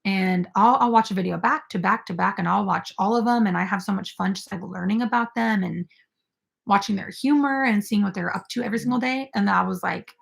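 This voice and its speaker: A woman's voice: medium pitch, brisk, vocal fry. A young woman hosting a casual crochet live stream, chatting with viewers and filled with "like" and "um". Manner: chatty, filler-heavy, reads viewer comments aloud.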